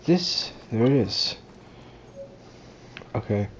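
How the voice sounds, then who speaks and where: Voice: medium pitch, lively intonation, extremely slow, slight background noise, poor audio. A game streamer giving casual gameplay commentary in a blunt, sarcastic, sweary manner.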